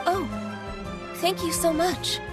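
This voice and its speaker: A woman playing a gentle, earnest and dutiful young female secretary in an anime-style game, speaking politely and carefully. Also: very high-pitched, steady tone, takes it slowly. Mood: concerned, polite. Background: game music.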